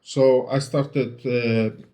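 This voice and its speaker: A man's voice: mid-range voice, flat delivery, drawn-out words, warm tone. A man from Iceland with an Icelandic accent, giving casual interview answers in a hesitant way, with many fillers and restarts. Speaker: interview guest, book restorer. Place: home.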